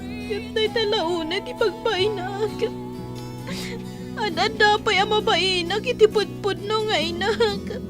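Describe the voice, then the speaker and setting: A woman's voice: extremely high pitch, steady tone, takes it slowly, music in the background. A woman acting a hurt, ashamed young adopted daughter in an Ilocano radio drama, plaintive and emotional. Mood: ashamed, distressed.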